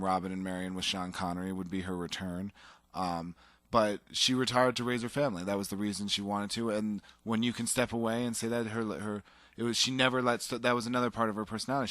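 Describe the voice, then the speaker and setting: A man co-hosts a casual talk show, his voice sitting on the lower side. He talks eagerly, rambling with fillers and restarts. The sound is dry and close, with no room around it. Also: a bit bright, dry recording. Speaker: podcast co-host. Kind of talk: casual chat.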